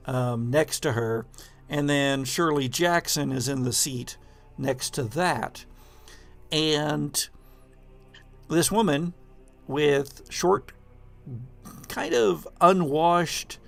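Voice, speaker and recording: Man, game master, slow pace, medium pitch, halting deliberate descriptions, tabletop horror narration. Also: gravelly.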